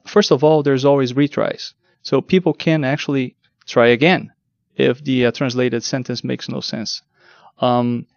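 A man's voice: mid-range voice, creaky, no echo, lo-fi sound. A man whose first language is Portuguese, explaining technical work as an interview guest, with frequent ums and repeated words. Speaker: guest, translation test lead.

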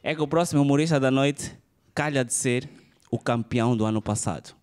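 A man's voice: mid-range voice, a little fast, darkish tone. A man, presenting acts as host on a comedy club stage. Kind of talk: introducing the next comedian. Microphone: handheld microphone.

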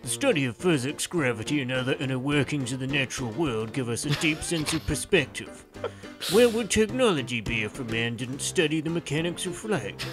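A man voicing an earnest, fatherly millionaire hero in a measured, didactic comic dub style. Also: mid-range voice, lively intonation, over music, voice pushed down, close to an everyday voice. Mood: patient, earnest. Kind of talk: comic dub character acting.

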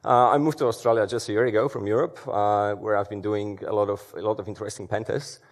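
A man's voice: fairly low pitch, steady tone, fast talker. Man, 30s, conference speaker, chatty with fillers, big auditorium. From Poland. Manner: conversational, many fillers and restarts. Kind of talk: conference talk. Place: large dark auditorium stage.

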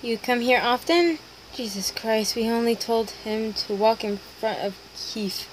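A woman's voice: on the high side, measured pace. A woman voice acting short comic dialogue as an amateur dub, in a noisy home recording. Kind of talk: amateur dramatic comic dubbing.

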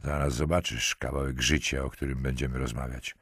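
A man's voice: extremely low pitch, steady tone, brisk, fairly bright tone, breathy edge, dry recording, clean studio sound, pitched below the performer's own voice, heavily acted. A man playing a stern, menacing police lieutenant. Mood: menacing, curt. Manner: harsh, commanding, hissed threats.

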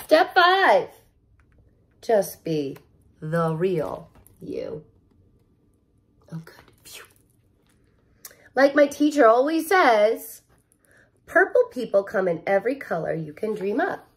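A woman in her thirties reading a children's picture book aloud like a teacher, expressive, with chatty asides straight to young listeners. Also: mid-range voice, takes it slowly, pauses often. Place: living room at home, indoors.

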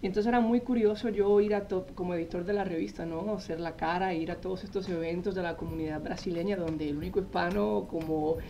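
A man, a magazine editor telling his story as a guest in a TV studio interview, animated and full of "eh" fillers, speaking into a lapel mic. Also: high voice, quick pace, creaky, few pauses, slight background noise. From Colombia. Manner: animated storytelling, frequent "eh" fillers, gestures while talking. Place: TV news studio set.

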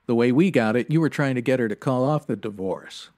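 Deadpan radio cop , male actor: mid-pitched, clipped, businesslike, stern and no-nonsense. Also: brisk, natural voice. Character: stern, no-nonsense 1950s police sergeant. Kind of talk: old-time radio police drama acting and narration.

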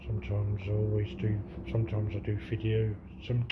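Halting man exploring a dark abandoned building. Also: lowish voice, monotone. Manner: halting, repeats words, mutters to himself. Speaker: urban explorer vlogger. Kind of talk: casual walkthrough narration. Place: dark abandoned cafe indoors. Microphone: handheld camera. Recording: dull lo-fi camera audio with noise.

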